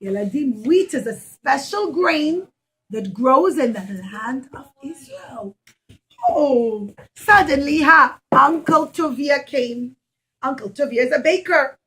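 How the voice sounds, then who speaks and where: A woman's voice: sits high, expressive, takes it slowly, a bit bright, rough recording. A woman storytelling to nursery children as a puppeteer and teacher, asking questions and stretching out key words. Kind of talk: animated storytelling to young children.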